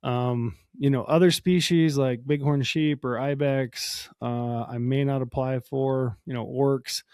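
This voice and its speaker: Hoarse man explaining casually. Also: medium pitch, steady tone, measured pace, darkish tone, no echo, studio quality. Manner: casual, explanatory, many ums and you-knows. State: hoarse from a cold. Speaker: podcast host. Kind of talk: solo podcast talk.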